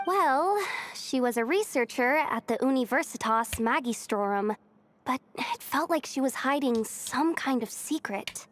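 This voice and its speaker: High-voiced, observant young woman. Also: lively intonation, a little slow. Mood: earnest. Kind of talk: video game voice acting.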